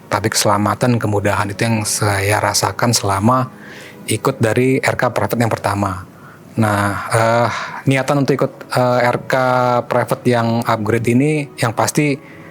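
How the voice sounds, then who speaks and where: Low-voiced man, noisy recording. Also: steady tone, moderate pace. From Bogor, Indonesia. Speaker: training participant giving a testimonial. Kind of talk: spoken testimonial, semi-casual. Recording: clear background noise, room ambience.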